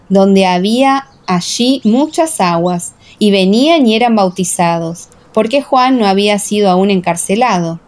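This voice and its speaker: Female narrator, medium pitch, scripted scripture reading, measured, solemn. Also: a little mellow.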